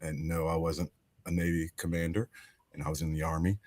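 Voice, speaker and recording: Deep-voiced man hosting a podcast. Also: level tone, brisk, a little mellow. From Alabama. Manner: conversational, emphatic, with restarts. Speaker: podcast host.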